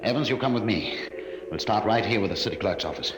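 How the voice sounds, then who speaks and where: Actor as deep-voiced commanding spaceship captain. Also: male voice, animated, quick pace, natural voice. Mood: wary. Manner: clipped, authoritative. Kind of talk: 1950s radio drama acting. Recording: very noisy old radio broadcast recording.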